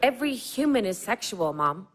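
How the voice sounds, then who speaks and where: An actress playing a confused, hurt adult daughter in a naturalistic audio drama, earnest, questioning and exasperated. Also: female voice, mid-range voice, big pitch swings, moderate pace, slight background noise, close to an everyday voice. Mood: incredulous, challenging.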